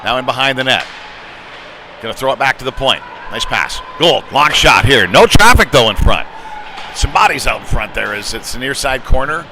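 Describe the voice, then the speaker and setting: A man's voice: mid-range voice, moderate pace. Male hockey play-by-play man over arena noise. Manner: conversational, rising to excited calls on big plays. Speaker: former goaltender. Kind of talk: live ice hockey play-by-play commentary. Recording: clear arena noise behind the voice.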